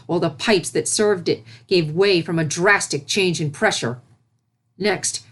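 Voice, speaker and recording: A woman reading a story as narrator, with expressive scripted delivery. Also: lowish voice. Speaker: fan fiction narrator.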